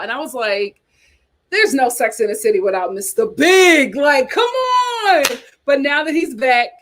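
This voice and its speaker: A woman's voice: high voice, a bit bright. A woman hosting a casual reality TV chat, reading viewer comments aloud with dramatic exclamations.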